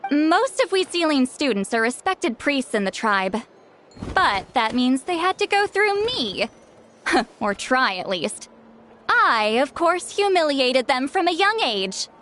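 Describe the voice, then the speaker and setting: Haughty game shaman, grumpy elder attitude, high lively voice. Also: a little hiss or hum, full character voice. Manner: haughty, teasing, self-assured. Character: proud grumpy female shaman, sharp-tongued elder. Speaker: game character. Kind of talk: video game voice acting.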